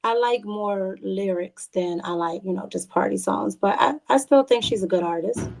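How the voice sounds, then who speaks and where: A woman from the Bronx speaking as a podcast guest, chatting informally and haltingly, often repeating words. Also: medium pitch, creaky, background noise. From the Bronx, New York. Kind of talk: casual interview chat.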